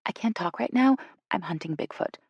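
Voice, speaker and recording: Woman acting a jittery, wisecracking woman, lively. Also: medium pitch, gravelly, husky, dry recording, lo-fi sound, pitched above the performer's own voice. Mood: hushed, apologetic. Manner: hushed, quick, wisecracking. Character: jittery, giggly, sarcastic woman scared of bears. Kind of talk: audiobook character acting.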